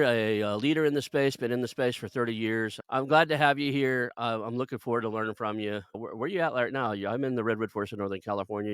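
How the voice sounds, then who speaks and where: A man hosting an interview podcast, talking casually with a halting, stop-and-start manner. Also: lowish voice, fairly bright tone, smooth, no echo. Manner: halting, with restarts. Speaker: podcast host.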